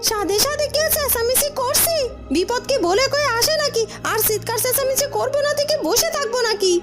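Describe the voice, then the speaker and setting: A performer voicing a frantic, sharp-tongued young maidservant in a cartoon, exclaiming, scolding and pleading. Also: very high-pitched, fairly quick, loud music behind the voice. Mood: indignant, distressed. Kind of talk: cartoon dialogue.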